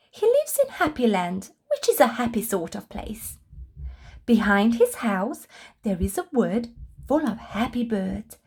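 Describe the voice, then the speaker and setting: A woman reading a children's storybook aloud with expressive, deliberate narration for young listeners. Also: on the high side, husky.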